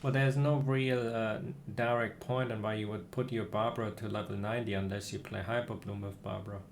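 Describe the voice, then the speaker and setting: A man livestreaming a game, chatting casually about strategy in a hesitant, halting way as he thinks aloud. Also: lowish voice, steady tone, vocal fry, a little hiss or hum.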